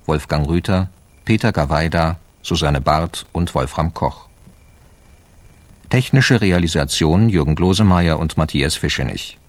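A man's voice: deep voice, no echo. A man reading a formal scripted announcement as a radio drama announcer.

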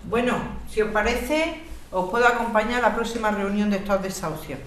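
An amateur actress plays a principled woman activist in a radio soap. She has a mid-pitched voice and a Spanish accent, firm and supportive, in an echoey room with faint noise. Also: a little fast, a bit bright, natural voice. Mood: encouraging. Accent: Spanish, from Spain.

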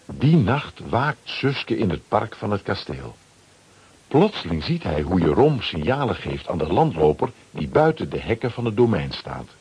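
A man narrates a children's adventure story from a script. His voice is on the low side and very husky, with animated, dramatic swings in intonation. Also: moderate pace.

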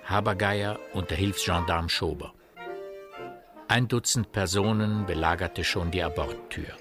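A grave narrator tells a dark village story for radio. His voice sits very low, and faint music lies behind it. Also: a little slow. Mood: grave, measured. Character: grave omniscient male narrator of a village mystery. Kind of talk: literary radio drama narration.

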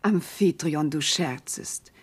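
An actress playing a tender, devoted noble wife, speaking classical verse with earnest, lyrical declamation in a radio drama. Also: female voice, deep voice, slow pace, breathy edge, heavily acted. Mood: gently bemused.

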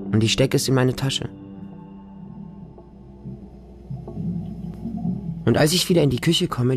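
Radio drama monologue: adult male actor as innocent wounded boy, mid pitch, childlike deliberate storytelling over prominent music. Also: natural voice. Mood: quietly wistful. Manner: deliberate, repetitive, childlike simple phrasing.

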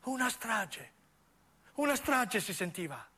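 A man's voice: high-pitched, sing-song, slow pace, pushed and bright, husky. A male stage actor tells a story. His delivery is intense and solemn, built from short, broken phrases as in a theatrical monologue.

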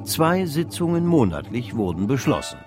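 A man's voice: mid-range voice, very animated, normal speed, music in the background. A man narrating a radio drama in a literary storytelling style.

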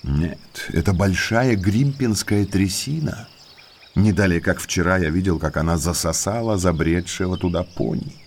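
An actor playing a well-mannered male naturalist in an audio drama, an eager guide to eerie moors who speaks politely and deliberately. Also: low-pitched, a little hiss or hum. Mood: grave warning. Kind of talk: Russian audiobook drama acting.

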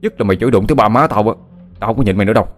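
A man voicing a stubborn, quick-tempered young villager, speaking forcefully. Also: fairly low pitch, faint music behind, lightly acted. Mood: angry. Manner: forceful, rapid. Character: stubborn young man, fair-minded but quick to hit.

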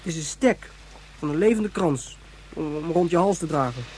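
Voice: mid-range voice, lively intonation, moderate pace, a little mellow, lightly acted. Child role, huffy and earnest, acted in a sci-fi radio play; noisy recording. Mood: proud, earnest. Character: young child from Ganymede, indignant, proud, easily homesick. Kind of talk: Dutch science-fiction radio play acting.

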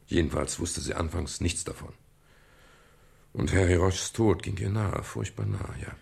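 An actor playing a calm, reflective private detective in a German radio crime drama, speaking in a measured, explanatory way with a gravelly, husky voice. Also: male voice, low voice, heavily acted. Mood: earnest, subdued. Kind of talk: German radio crime drama, dialogue and first-person narration.

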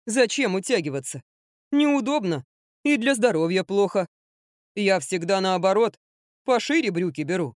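A woman's voice: medium pitch, animated, no room sound, studio quality, natural voice. Woman voicing grumbling, good-natured older man. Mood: sheepish, amused. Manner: unhurried, gruffly good-humored.

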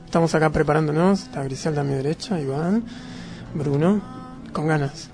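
A man's voice: fairly high pitch, moderate pace, a little mellow, faint music behind. A man, a talkative band member joking wryly on radio. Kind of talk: casual interview.

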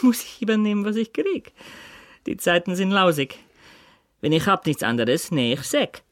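A man's voice: high-pitched, sing-song, normal speed, a bit bright, no room sound, studio quality. A male actor in a German radio crime drama playing a wary, defensive tailor in his thirties, speaking tersely in Yiddish-influenced German with inverted word order. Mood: guarded.

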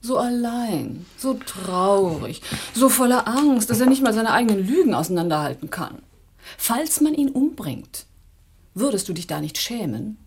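A woman's voice: mid-range voice, big pitch swings, measured pace, darkish tone, husky, full character voice. An actress playing a wry but sympathetic woman, coaxing and teasing her partner in a theatrical way in a German radio drama. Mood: coaxing, pitying.

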